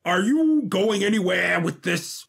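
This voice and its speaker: A man's voice: high voice, animated, unhurried, vocal fry, fairly bright tone, husky, pitched above the performer's own voice, heavily acted. A man voicing a haughty, impatient and indignant duke in audio fiction, with a pompous, demanding manner.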